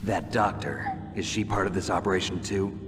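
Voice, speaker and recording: A hard-bitten, cynical soldier, performed by a man for a video game. The voice is very low, terse and deadpan, set in a long reverberant hall. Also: moderate pace, over music, natural voice. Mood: firm, terse. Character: terse, cynical male veteran soldier, dryly sardonic.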